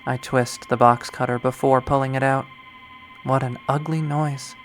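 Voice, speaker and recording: A man's voice: mid-range voice, fairly even intonation, normal speed, natural voice, low-quality recording. Man reading as a jaded, unhinged young woman over music. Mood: bleak, contemptuous. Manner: bitter, profane, rambling monologue. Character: jaded, unhinged young woman artist narrating a violent act. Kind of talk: dramatic visual novel readthrough.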